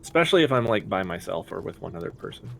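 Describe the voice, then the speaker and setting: A man's voice: fairly low pitch, very animated, fast, gravelly, fairly bright tone, a little hiss or hum. A man commentating on a speedrun, patiently explaining the game's mechanics.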